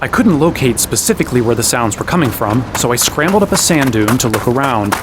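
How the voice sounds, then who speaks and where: A man's voice: medium pitch, expressive, brisk, noisy background, natural voice. An actor playing an exasperated, sarcastic young hero who narrates his own quest in a fantasy audio drama. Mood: narrating. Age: young adult. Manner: wry, exasperated. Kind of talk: first-person audio drama narration and dialogue.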